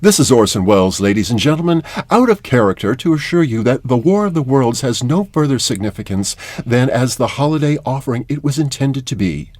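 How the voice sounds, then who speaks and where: A man's voice: mid-range voice, animated, brisk, few pauses, a little mellow, a little hiss or hum, lightly acted. A man playing a playfully grandiose 1930s radio host, signing off to listeners with theatrical, wry emphasis.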